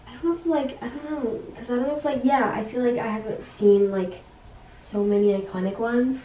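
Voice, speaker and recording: A woman's voice: a little high, a little fast, warm tone, distant mic, slight background noise. Hesitant young woman in an echoey room. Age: young adult. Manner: hesitant, full of "like". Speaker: vlogger's friend. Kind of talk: casual chat.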